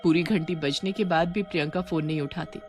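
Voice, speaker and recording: A woman's voice: deep voice, fairly quick. Woman narrating a horror story over music.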